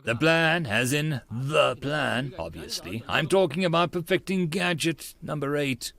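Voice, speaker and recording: A man's voice: a little high, animated, unhurried, vocal fry, fairly bright tone, heavily acted. A man voicing a grandiose, theatrical young self-styled mad scientist in a first-person audiobook story, speaking pompously. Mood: pompous, insistent. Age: young adult. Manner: pompous, melodramatic.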